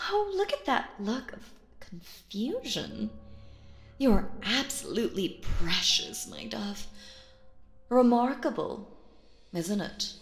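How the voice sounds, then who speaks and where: Woman doing a creepy possessive lady magician for audio roleplay, slow theatrical coaxing w breathy whispers, echoey. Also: fairly high pitch, very animated, faint music behind, heavily acted. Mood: gloating. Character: sinister, possessive female stage magician, doting captor. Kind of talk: roleplay audio drama, intimate hypnotic monologue.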